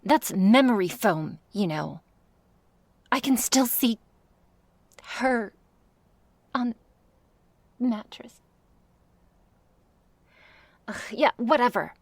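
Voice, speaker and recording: A woman playing a jealous, menacing vampire in an ASMR roleplay, sarcastic and taunting, often dropping into breathy hisses. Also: fairly high pitch, extremely slow, pauses often, no echo, exaggerated voice. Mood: exasperated, scornful.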